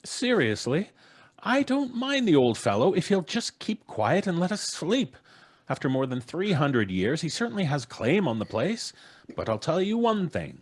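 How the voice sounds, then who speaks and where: A jovial, pompous father in a radio play. His husky voice swings up and down as he blusters away any talk of ghosts. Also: a little high, big pitch swings, moderate pace. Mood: amused, unruffled. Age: middle-aged. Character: blustery, unflappable American father, dismissive of ghosts. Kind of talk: old-time radio drama acting. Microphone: studio microphone on a video call.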